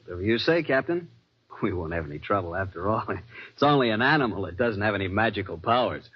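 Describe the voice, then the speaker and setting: A man's voice: on the low side, expressive, quick pace, breathy edge. A man playing a tough, determined American adventurer and animal collector, telling his story dramatically in an old-time radio drama. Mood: confident. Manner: hard-boiled, dramatic. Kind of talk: old-time radio drama, first-person narration and dialogue. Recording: muffled old radio recording with music.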